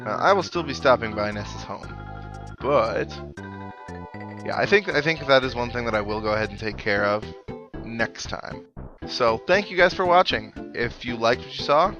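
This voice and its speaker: A man's voice: mid-range voice, lively intonation, normal speed, lo-fi sound. A man hosting a game playthrough, chatting casually with wry, musing asides and reading on-screen text aloud. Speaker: host of a game playthrough series. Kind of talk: casual let's play commentary, reading game dialogue aloud. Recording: game music behind. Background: video game music.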